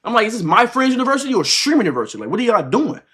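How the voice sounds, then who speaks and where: A man's voice: extremely high pitch, racing, husky. A man in his twenties livestreaming, telling a story casually in slangy, swear-heavy speech, repeating himself and acting out what was said. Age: 20s. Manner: animated, repetitive retelling with acted-out quotes. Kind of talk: casual reaction-stream storytelling to chat.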